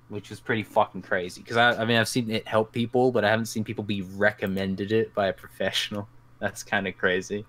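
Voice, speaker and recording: A man with a fairly low voice hosts a loose podcast chat over a voice call. He rambles, swears freely and pokes fun at himself. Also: a bit bright. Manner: profane, rambling, self-mocking. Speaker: podcast host.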